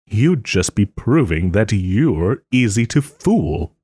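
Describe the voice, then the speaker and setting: Man playing a haughty, wounded nobleman, dramatically expressive. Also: fairly low pitch, husky, no room sound, heavily acted. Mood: incredulous, urgent. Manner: theatrical, formal old-fashioned phrasing, hesitant pauses. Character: wronged young nobleman, haughty, wounded, still tenderly caring. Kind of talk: scripted romantic audio roleplay.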